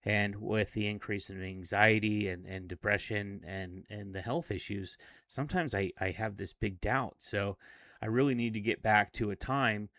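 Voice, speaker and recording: Low-voiced man in his forties, dry sound. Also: normal speed, fairly bright tone, no room sound. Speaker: self-improvement vlogger. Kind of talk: casual personal vlog monologue.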